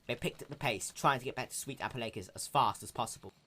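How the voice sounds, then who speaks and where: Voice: mid-range voice, quick pace, bright, forceful tone, slightly rough. A narrator giving a dramatic reading of a fan fiction story. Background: background music.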